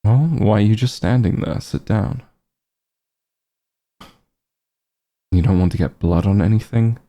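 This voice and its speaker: A man playing a calm, caring back-room doctor who gently scolds an old friend in a softly spoken ASMR roleplay, soothing with dry bluntness. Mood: brisk, dry concern. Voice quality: low, gravelly, husky. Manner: soothing, unhurried bedside reassurance with dry bluntness.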